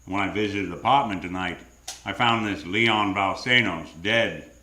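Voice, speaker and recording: Casting: male actor as cool hard-boiled gumshoe for old-time radio, dry wit, deadpan delivery, live roomy stage sound. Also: deep voice, moderate pace, slight background noise. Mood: cool, wry. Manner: deadpan, sardonic. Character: hard-boiled wisecracking private detective, cool and sardonic. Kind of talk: live 1940s-style radio drama acting and narration. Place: theater stage.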